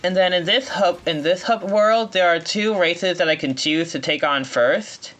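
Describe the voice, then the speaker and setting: A man giving live speedrun commentary, explaining as he plays in a halting way, often rephrasing mid-sentence. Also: high voice, a bit bright. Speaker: speedrunner playing at a marathon.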